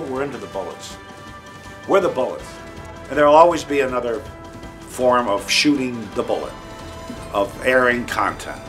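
A man in his sixties speaking emphatically in a documentary interview, with punchy repetition. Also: on the low side. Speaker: radio industry interviewee. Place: indoor office.

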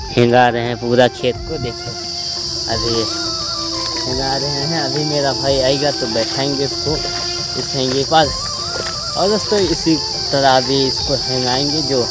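A man's voice: medium pitch, poor audio. Young man vlogging from village fields. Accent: Uttar Pradesh Hindi. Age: young adult. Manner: chatty, repeatedly addresses viewers as friends. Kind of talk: casual vlog narration. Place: rural village, indoors and outdoors in fields. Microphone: phone camera at arm's length.

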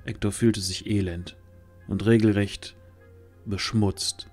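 Low-voiced man narrating a fantasy story. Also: steady tone, slow, a little mellow. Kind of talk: scripted fantasy audio drama narration. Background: faint music.

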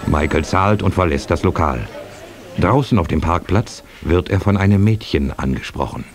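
A man's voice: deep voice, expressive, moderate pace, soft background music. A man reading scripted narration for a radio play.

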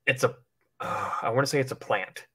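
A man's voice: medium pitch, normal speed, pushed and bright, husky. A man co-hosting a livestream, chatting casually in a rambling, informal way and swearing now and then. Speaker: livestream co-host. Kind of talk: casual chat speculating about films.

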